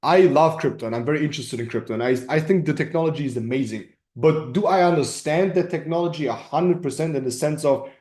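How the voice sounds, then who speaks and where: A man's voice: a little high, dark tone. A man with a German accent coaching informally on a group call, explaining emphatically and repeating himself with frequent check-ins. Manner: emphatic, repetitive, checks in with "right?" and "okay?". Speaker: crypto trading coach hosting a community call. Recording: roomy, echoing sound.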